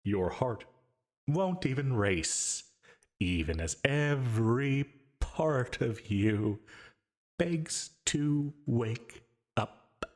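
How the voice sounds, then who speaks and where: A man's voice: medium pitch, expressive. A man voicing a possessive, gleefully menacing sleep paralysis demon in an ASMR audio roleplay, taunting the listener. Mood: gloating, cruel. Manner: slow, drawn-out taunting with dark chuckles. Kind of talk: ASMR yandere roleplay, dramatic monologue.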